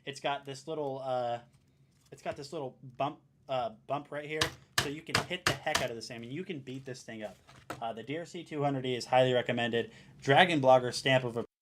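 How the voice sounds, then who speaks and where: A man enthusiastically demonstrating and recommending a product, repeating himself in excitement. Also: fairly quick, background noise. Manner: excited, repetitive pitching. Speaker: product reviewer.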